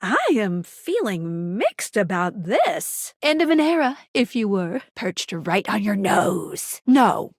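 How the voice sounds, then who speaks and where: A woman playing a bubbly, quirky, playful object come to life who guides the player in a video game, with perky, expressive delivery. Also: medium pitch, takes it slowly, hardly any pauses, no room sound, studio quality, heavily acted. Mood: wry, coy, then wistful. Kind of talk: video game character voice lines.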